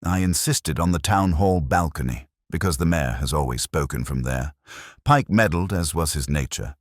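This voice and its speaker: A man's voice: very deep voice, expressive, normal speed, no echo, clean studio sound. A man voicing a pompous, self-important town councillor who talks as if making a speech for posterity. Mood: pompous, aggrieved. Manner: oratorical, as if addressing posterity.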